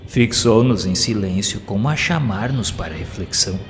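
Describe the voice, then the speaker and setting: A man with a Brazilian accent reads a scripted audio novel as its narrator, in a solemn, formal and measured literary manner. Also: lowish voice, animated, slight background noise. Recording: long hall reverb, music underneath. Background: background music.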